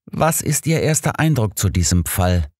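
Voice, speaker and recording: A man's voice: on the low side, very animated, moderate pace, a little mellow, no echo, clean studio sound, voice pushed up. A man voicing a detective's doctor companion in an audiobook, polite and questioning. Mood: curious.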